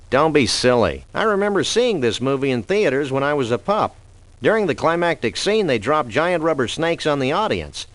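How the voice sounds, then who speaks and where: A man voicing a laid-back, wry cartoon dog detective in a comic adventure game, speaking in wordy deadpan. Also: fairly high pitch, dry recording.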